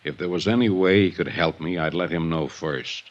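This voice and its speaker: Actor as a very deep-voiced wounded tough guy. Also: normal speed, close to an everyday voice. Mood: wry, weakened, stubborn. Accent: American. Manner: laconic, stoic. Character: wounded, stubborn, tough man making light of it. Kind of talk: 1950s radio acting. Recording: dull 1950s radio recording.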